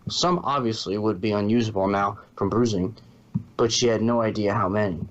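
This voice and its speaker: Male story reader, lowish pitch, read-aloud narration, dull muffled home recording. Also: a little fast. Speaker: fan fiction reader.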